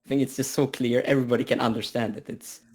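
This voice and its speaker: A man's voice: mid-range voice, fairly even intonation, fast talker, a little mellow, slightly rough. A man asking a question as an audience member, talking casually and hesitantly, repeating words as he goes. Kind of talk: casual chat.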